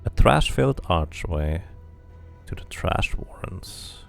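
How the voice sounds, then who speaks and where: A man's voice: low-pitched, animated, slow, gravelly, a bit bright, faint music behind. A man doing casual playthrough commentary, musing to himself and reading game text aloud. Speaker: video game streamer.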